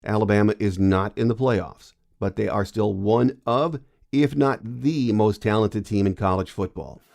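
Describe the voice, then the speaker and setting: Low-voiced man hosting, congested with a cold. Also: unhurried, gravelly, darkish tone. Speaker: podcast host. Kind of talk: scripted sports commentary.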